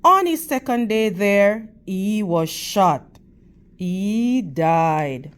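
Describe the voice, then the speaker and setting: Woman doing a kids storytime read aloud, real slow and measured, teacher style, medium pitch. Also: lively intonation, clear, resonant tone. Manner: deliberate, measured phrasing with questions and praise addressed to young listeners. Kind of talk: read-aloud of a picture book for children.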